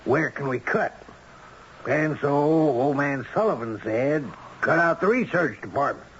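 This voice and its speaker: A man's voice: a little high, lively intonation, unhurried. Businessman on dull old radio. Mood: animated, recounting. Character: businessman recounting office battles, dogged and protective. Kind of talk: 1970s radio drama acting. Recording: dull old radio recording, faint noise.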